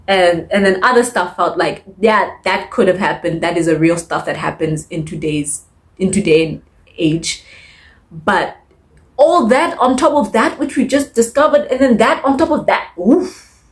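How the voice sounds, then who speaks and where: A woman reviewing books in a casual, chatty way, expressive and emphatic, often repeating words to stress them. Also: mid-range voice, measured pace. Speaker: book reviewer. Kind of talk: casual chatty monthly reading wrap-up.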